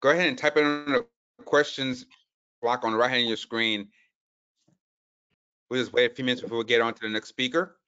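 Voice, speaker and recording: A man hosting a webinar, giving brief relaxed prompts to the audience. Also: medium pitch, fairly quick, pauses often, smooth. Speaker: host. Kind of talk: moderating a webinar. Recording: distant, lo-fi video call audio.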